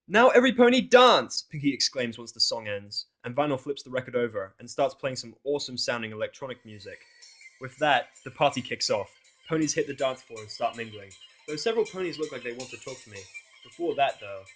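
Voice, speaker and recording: A man's voice: mid-range voice, a little fast, a bit bright, faint music behind. A man narrating a first-person fan fiction audio drama in a sardonic, exasperated way.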